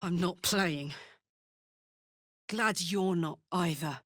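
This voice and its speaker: A tough tiefling warrior woman from a fantasy video game. She is brash and slangy but warm-hearted and sincere, and she delivers her lines at an unhurried pace. The sound is dry, with no room around it. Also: on the low side, no room sound. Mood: sincere, easygoing. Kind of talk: video game character voice acting.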